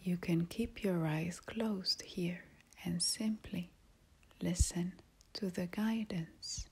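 A woman leading a guided meditation as an instructor, speaking in a soft, half-whispered, breathy voice, calm and soothing with long pauses. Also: on the low side, a little hiss or hum. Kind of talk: slow guided meditation narration.

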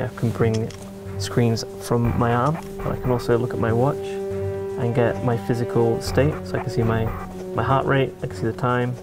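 A man explaining cockpit technology in a very flat, even tone at middle pitch. Music plays clearly underneath him. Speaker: engineer demonstrating. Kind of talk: technical demonstration.

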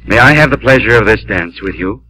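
An actor playing a courtly young fairy-tale prince, formal and gallant, in a 1950s radio drama. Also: male voice, fairly low pitch, lively intonation, fairly quick, slight background noise, poor audio. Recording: old radio recording, loud music behind.

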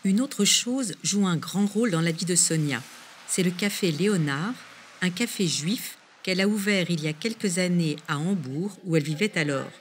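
A woman's voice: on the low side, normal speed, a little hiss or hum. A woman presenter reading scripted documentary narration in French.

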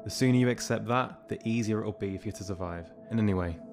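A man's voice: fairly low pitch, fast, over music, natural voice. A young man playing a weary, dryly sarcastic soldier rescuing survivors in an audio drama. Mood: sardonic, insistent. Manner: dry, sarcastic.

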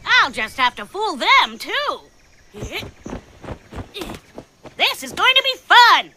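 A performer voicing a mischievous, chatty little parrot in an animated cartoon, with exaggerated, teasing delivery. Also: very high-pitched, measured pace, a little hiss or hum, exaggerated voice. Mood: gleefully scheming. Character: mischievous small parrot who mimics others, cheeky trickster.

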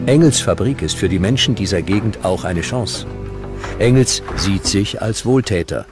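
Very deep-voiced documentary narrator, male. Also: expressive, normal speed, over music.

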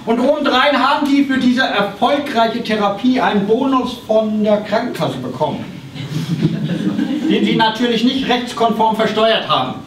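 High-pitched, pedantic snooping taxman acted by a man. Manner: officious, halting, quoting paragraphs. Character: pedantic, snooping male tax investigator. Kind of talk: live satirical radio play on stage. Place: small theatre stage with audience. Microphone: far camera. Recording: distant, noisy, echoey theatre stage recording.

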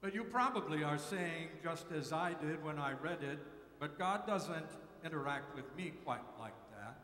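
An older man preaching a sermon from a pulpit, with measured, slowly repeated emphasis. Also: fairly high pitch, expressive, bright, forceful tone. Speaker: pastor. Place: large church sanctuary at a pulpit. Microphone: pulpit mic, far camera. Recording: echoey church hall.

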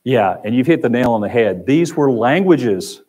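A man's voice: mid-range voice, animated, normal speed, darkish tone, slightly rough. A man with an Oklahoma accent teaching a Sunday Bible class in a church room, talking conversationally and repeating phrases. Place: church classroom with projector screen.

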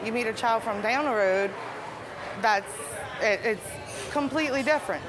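A woman's voice: fairly high pitch, moderate pace, a crowd in the background, background noise. A woman with a St. Bernard Parish Yat accent, talking casually and plainly in an interview. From St. Bernard Parish, Louisiana.

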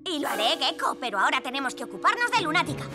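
Little owl hero girl for a kids cartoon, super high n swoopy, earnest, music under it. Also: racing. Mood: firm. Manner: expressive, exclamatory. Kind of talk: animated children's cartoon dub.

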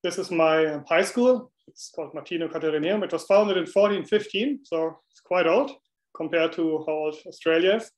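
A man from Germany with a German accent, presenting a mathematics webinar talk over a video call in a relaxed, friendly way with some fillers. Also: on the high side, moderate pace. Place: indoor room, on a video call. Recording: roomy echo on a video call.

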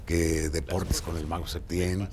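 A man's voice: low-pitched, flat delivery, measured pace, noisy background. An older man with a Mexican accent, giving his opinions in a documentary interview.

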